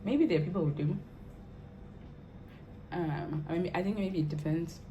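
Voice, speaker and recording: A woman's voice: on the low side, moderate pace, mellow, highs cut off. A woman hosting a vlog, firing off curious, playful questions and humming along while her guest answers. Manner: curious, playful, frequent hums. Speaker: vlog host asking questions. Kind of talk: rapid-fire Q&A chat. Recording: noisy background.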